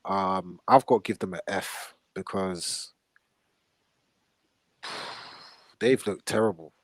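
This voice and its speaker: A man's voice: low-pitched, slow pace, no echo. A man hosting a casual football panel chat, blunt and full of slang. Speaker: podcast host.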